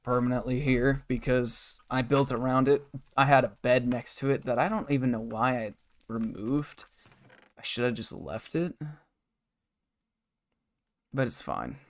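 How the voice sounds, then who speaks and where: A hoarse man live-streaming a game from his bedroom desk, rambling and muttering to himself and his chat. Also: mid-range voice. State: hoarse, scratchy throat.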